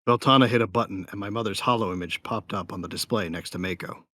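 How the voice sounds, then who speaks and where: A man's voice: fairly low pitch, quick pace, pushed and bright, no room sound, studio quality. A man playing a cocky, wry bounty hunter who narrates his own story in an audio drama. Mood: relaxed. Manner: wry, self-satisfied. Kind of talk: first-person dramatic narration.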